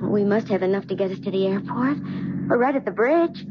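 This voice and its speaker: A woman's voice: mid-range voice, expressive, brisk, lightly acted. An actress playing a nervous woman caught up in a crime in a 1970s radio drama, sounding uneasy and hesitant. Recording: dull, noisy old radio broadcast.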